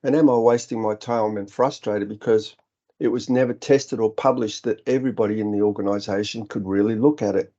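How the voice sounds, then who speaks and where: A middle-aged man with an Australian accent talks through a business webinar in an earnest, explanatory way, often pausing on ums and restarting words. His voice is mellow and dark, and the sound is muffled and lo-fi, as if from a computer mic on a video call. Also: fairly low pitch, steady tone. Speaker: business director presenting a webinar. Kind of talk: webinar presentation. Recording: dull, slightly lo-fi webinar audio.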